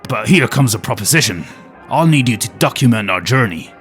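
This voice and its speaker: A man's voice: medium pitch, animated, brisk, fairly bright tone, faint music behind, natural voice. A man playing an aging former nature documentary host with a wry, world-weary, conspiratorial manner. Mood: sly. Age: older.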